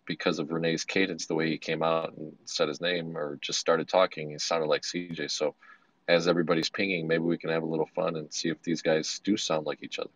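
A man from the Chicago area with a slightly raspy voice, chatting in a friendly, jovial way. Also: low-pitched, fairly bright tone, no room sound. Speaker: club member. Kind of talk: casual chat. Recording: dry muffled lo-fi audio.

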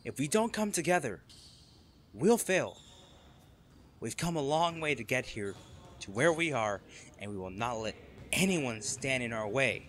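A man's voice: mid-range voice, very animated, a little slow, pauses often, a bit bright, a little hiss or hum. A man playing a cocky college volleyball captain. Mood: rallying. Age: young adult. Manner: laid-back, laughs often, swears casually. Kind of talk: audio roleplay to a listener.

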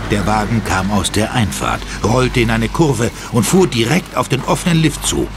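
A man's voice: lowish voice, expressive, normal speed, background noise. A man narrating an audio drama in a dramatic, scripted style.

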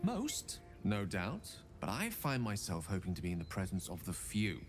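A man's voice: low voice, huge pitch swings, normal speed, faint music behind, full character voice. An actor playing a courteous, wordy middle-aged male wizard in a video game, speaking in formal, theatrical phrasing. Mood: eloquent.

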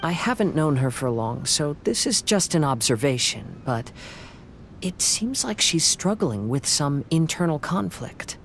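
A man playing a calm, thoughtful young swordsman in an anime video game, soft-spoken and measured. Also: fairly high pitch, creaky, a little hiss or hum, exaggerated voice. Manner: measured, reflective.

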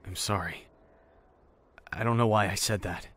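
A man's voice: fairly low pitch, fairly even intonation, normal speed, a bit bright, no room sound, natural voice. A man playing a jaded, grieving survivor in a zombie fan drama, talking in a curt, weary, sardonic manner. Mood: bitter, subdued. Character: jaded, blunt, grieving male survivor, bitter but loyal. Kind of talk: dramatic audio visual-novel fan drama.

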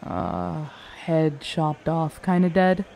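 A cynical, threatening vampire woman, played by a woman in an audio roleplay. She drawls very slowly and bluntly, as if nothing is worth hurrying for. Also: sits low, steady tone, gravelly, dark tone, a little hiss or hum, heavily acted. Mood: menacing, irritated.

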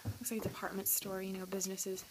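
A young woman filming a casual road trip vlog, teasing and excitable, recorded on a handheld phone outdoors and in a car. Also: medium pitch, fast talker, heavy background noise. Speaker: vlogger. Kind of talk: casual travel vlog chat.

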